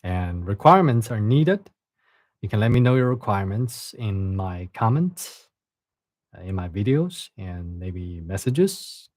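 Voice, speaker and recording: Give me a man chatting on a livestream and reading aloud, halting and repeating himself. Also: lowish voice, sing-song, darkish tone, no room sound, clean studio sound. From China. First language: Chinese. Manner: halting, repeats words and restarts. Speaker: livestream host and reader. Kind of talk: casual livestream chat and reading a novel aloud.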